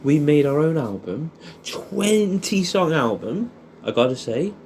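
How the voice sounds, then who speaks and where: English man with a bright, chatty voice. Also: a little high, sing-song, unhurried, slight background noise. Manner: rambling, sweary, matey. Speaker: audio storyteller addressing an old friend. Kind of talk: casual intimate monologue, reminiscing.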